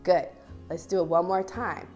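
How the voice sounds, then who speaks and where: A woman in her thirties, a children's dance teacher reading and instructing young children in an expressive, deliberate, encouraging way. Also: lowish voice, soft background music. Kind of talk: storybook read-aloud and instruction for young children.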